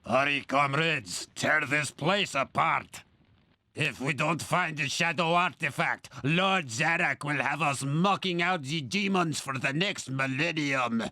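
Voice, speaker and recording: Bossy skeleton henchman from a video game, highish voice, theatrical orders, noisy background. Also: measured pace. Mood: urgent, commanding. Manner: commanding, urgent, theatrical. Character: bossy skeleton soldier villain, blunderbuss-wielding undead henchman. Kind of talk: video game character acting. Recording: noisy game audio clip.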